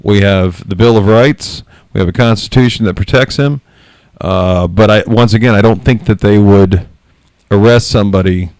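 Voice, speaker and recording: A man with a loud voice hosting a casual podcast, speaking frankly with many self-corrections. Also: fairly low pitch, moderate pace, smooth, no room sound. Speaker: podcast host.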